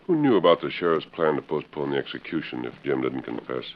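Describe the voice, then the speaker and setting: Western radio hero: very low, authoritative, clipped and formal, on a dull vintage recording. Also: male voice, lively intonation, fast. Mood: commanding. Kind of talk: 1940s radio acting.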